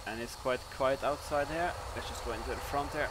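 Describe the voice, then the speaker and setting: A young man with a Norwegian accent casually commenting on his flight-sim gameplay, relaxed and rambling with lots of fillers. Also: mid-range voice, fairly quick, background noise. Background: music playing underneath.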